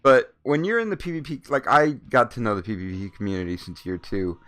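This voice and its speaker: A man's voice: mid-range voice, fairly quick, a bit bright. A man hosting a casual interview who rambles, swears freely and laughs often. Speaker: interview host.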